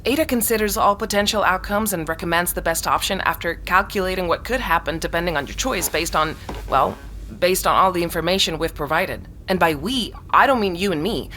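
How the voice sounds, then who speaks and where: A woman's voice: lowish voice, a little fast, fairly bright tone, faint music behind, natural voice. An actress playing an earnest young woman who explains her suspicions insistently, in naturalistic audio drama dialogue. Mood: eager, explaining. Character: earnest young woman convinced of a tech conspiracy.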